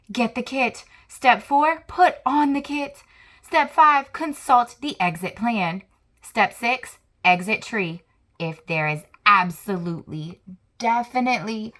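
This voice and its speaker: A young adult woman reading a children's picture book aloud, lively and expressive, with chatty asides to young listeners. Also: on the low side.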